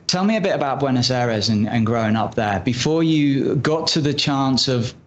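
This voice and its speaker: A man in his thirties welcomes and questions his guest with enthusiasm. He talks at a mid pitch and draws out his introductions. The recording sounds dull and muffled. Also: expressive, a little hiss or hum. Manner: enthusiastic, slow and deliberate intros. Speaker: podcast host. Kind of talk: interview hosting. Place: studio table in a coworking space. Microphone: boom mic on the table.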